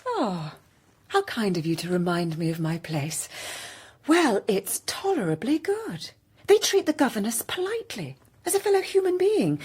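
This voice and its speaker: An actress with an English accent playing a witty, scheming young governess in a radio drama, arch and mock-sweet. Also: female voice, lowish voice, a little mellow, breathy edge, heavily acted. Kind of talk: British radio drama acting.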